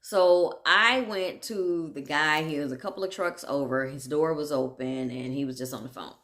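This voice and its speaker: A woman's voice: deep voice, moderate pace, fairly bright tone. A woman talking casually and candidly, laughing often and wandering off track as she tells stories. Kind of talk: casual monologue.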